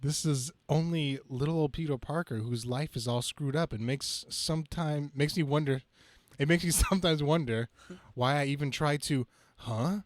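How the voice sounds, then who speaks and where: Man reading as a harried, higher-voiced wisecracking superhero. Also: normal speed, close to an everyday voice. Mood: harried, self-pitying. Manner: wisecracking, melodramatic, stumbling reading. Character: young male wisecracking superhero, harried and self-pitying. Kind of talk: dramatic reading of a comic book.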